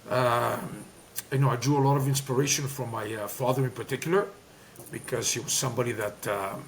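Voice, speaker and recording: Greek-accented man, gravelly voice, faint hiss under it; emphatic interview guest. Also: mid-range voice, fairly quick. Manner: talkative, emphatic, many fillers and restarts. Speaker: guest, former player and coach. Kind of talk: candid interview talk.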